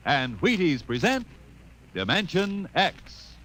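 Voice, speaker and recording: A man's voice: very animated, slow, a bit bright. A man delivering an upbeat, folksy 1950s radio commercial as an announcer. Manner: peppy, folksy sales pitch. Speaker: advertisement announcer.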